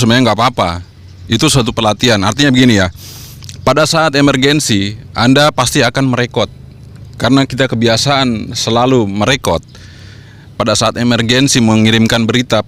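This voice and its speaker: A man's voice: medium pitch, fairly even intonation, fairly quick, a bit bright. A man giving an informal instructional vlog talk, encouraging and repeating his points as he explains. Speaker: amateur radio vlogger and trainer.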